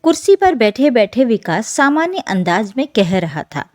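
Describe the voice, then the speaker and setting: Female narrator for emotional family tales: higher-pitched, very animated intonation, soft mellow tone, scripted and dramatic. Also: moderate pace, dry recording, studio quality. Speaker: story narrator.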